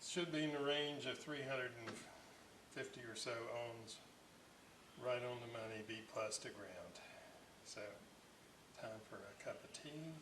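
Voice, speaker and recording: Man, unhurried halting delivery, casual hobbyist narration, rambling, distant mic, echoey room. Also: fairly low pitch. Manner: halting, rambling, reflective explanation. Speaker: host of a radio restoration channel. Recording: roomy, distant mic, steady background noise.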